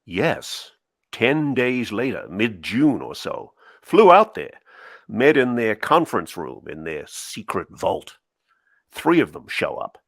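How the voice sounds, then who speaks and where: A man's voice: medium pitch, big pitch swings, slow, no room sound, exaggerated voice. Guarded admiral, read by a man, telegraphic and dramatic, varied intonation, close dry recording. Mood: firm, recounting. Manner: clipped, telegraphic phrasing. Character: guarded senior admiral recounting secrets in clipped phrases. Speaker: co-host reading a part. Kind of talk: dramatic cold reading of a memo transcript.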